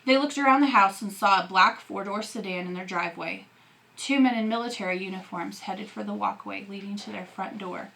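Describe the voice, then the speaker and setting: Bright-voiced woman reading a novel aloud. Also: mid-range voice, brisk, vocal fry, poor audio. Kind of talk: expressive audiobook-style narration of a novel.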